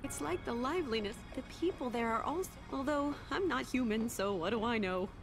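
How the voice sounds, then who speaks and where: An actress voicing a chatty, breezy non-human creature in a video game, rambling along. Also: female voice, a little high, animated, brisk. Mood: chirpy. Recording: game music behind the voice.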